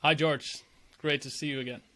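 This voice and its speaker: A man's voice: a little high, fairly even intonation, normal speed, a bit bright. A man hosting a podcast, introducing his guest and asking him questions in an upbeat, chatty way. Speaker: podcast host. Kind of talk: casual interview with a scripted intro.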